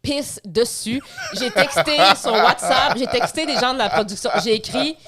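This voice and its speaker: A young woman with a Quebec French accent, co-hosting a podcast and teasing a friend in lively, laughing stories; her first language is Spanish. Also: a little high, moderate pace. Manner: teasing, animated storytelling with bursts of laughter. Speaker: podcast co-host. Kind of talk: casual chat.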